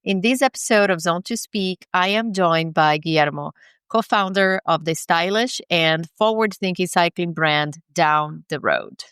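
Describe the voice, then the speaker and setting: A woman in her forties hosting a podcast, introducing a guest in a measured, upbeat way and asking him questions. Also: fairly low pitch, animated, a bit bright, clean tone, no echo. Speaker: podcast host. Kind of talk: read sponsor ads and scripted intro, then interview.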